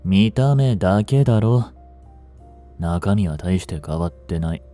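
A man playing a blunt, languid young delinquent and protective childhood friend, drawling gruffly and teasingly in an intimate audio drama. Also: deep voice, expressive, measured pace, warm tone, soft background music. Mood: casual, teasing.

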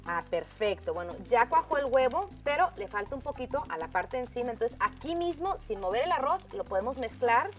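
Woman, cooking show host, fairly high voice, friendly, upbeat, step-by-step, soft music behind. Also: big pitch swings, a little fast, hardly any pauses. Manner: warm, upbeat, addresses viewers as friends. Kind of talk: scripted cooking demonstration.

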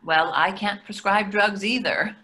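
Bright-voiced, anxious older wife. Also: female voice, mid-range voice, steady tone, measured pace. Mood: evasive. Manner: hesitant, defensive. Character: anxious, needling, reluctant woman therapist and wife. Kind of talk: readers theatre over video call. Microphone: video call.

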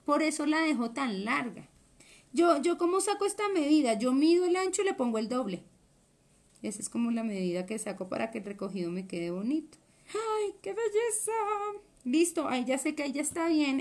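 High-voiced woman teaching sewing. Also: big pitch swings, a little fast, a little mellow. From Colombia. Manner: chatty, explains while working with her hands. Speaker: sewing instructor. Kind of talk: live craft class. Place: indoor workroom at a cutting table.

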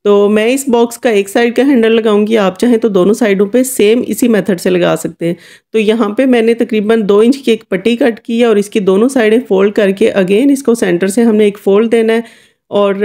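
A woman's voice: medium pitch, warm tone. A woman giving an informal, chatty step-by-step DIY voice-over as a tutorial host. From Kashmir.

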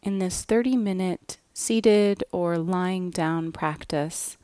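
A woman guiding a yoga nidra relaxation in a gentle, measured way, with soft phrase endings. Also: on the low side, clear, resonant tone, dry recording. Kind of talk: guided relaxation meditation, scripted.

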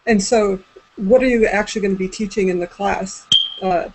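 A woman's voice: mid-range voice, steady tone, normal speed, a little mellow, low-quality recording. A woman in her forties casually interviewing a guest over a video call from a room at home. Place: small indoor room at home. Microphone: computer mic on a video call.

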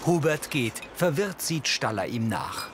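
A man's voice: medium pitch, sing-song, unhurried, noisy background. A man reading scripted audio description in a neutral, factual way, narrating in the present tense.

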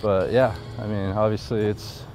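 A man's voice: fairly low pitch, normal speed, over music. A young man, a college baseball player, chatting casually in an interview while walking outdoors on a campus. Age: young adult. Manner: relaxed, laid-back, lots of fillers.

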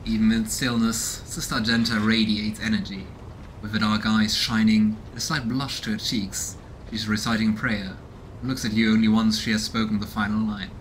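A man's voice: lowish voice, flat delivery, normal speed, a bit bright, slight background noise, low-quality recording. A man in his thirties doing casual game commentary and reading narration aloud as he streams. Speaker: let's play streamer voicing a game. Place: small room indoors at home. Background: game music.

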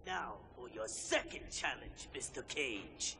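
An actor playing a sinister, theatrical sorcerer who announces a video game fighting tournament in a grand, commanding, gravelly manner. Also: male voice, medium pitch, sing-song, takes it slowly, bright, forceful tone, a little hiss or hum, exaggerated voice. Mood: menacing. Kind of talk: video game villain acting. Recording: music behind the voice.

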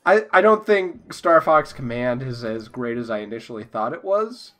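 A man's voice: mid-range voice, big pitch swings, moderate pace, fairly bright tone. A young man chatting casually as a podcast guest, joking and giggly as he draws. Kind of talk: casual chat while drawing.

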